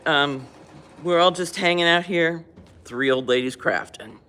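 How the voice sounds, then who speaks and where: An older woman hosts a relaxed livestream from a library, chatting and joking at her own expense. Her voice is bright and forward. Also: low voice. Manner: chatty, self-mocking, quick to laugh. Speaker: livestream host. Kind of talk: casual chat. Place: public library.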